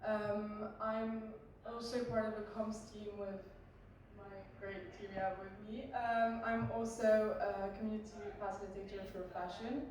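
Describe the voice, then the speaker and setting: A young woman from France, a design engineering graduate, introducing herself hesitantly with pauses. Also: medium pitch, level tone, a little mellow, slight background noise. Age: young adult. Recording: echoey room, distant mic.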